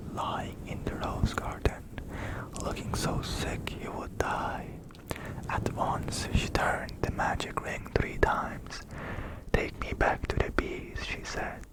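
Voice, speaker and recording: A storyteller whispering in a breathy, unvoiced voice, gently delivering an ASMR bedtime story. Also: low-quality recording. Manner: slow, hushed, gentle delivery. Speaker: ASMR storyteller and channel host.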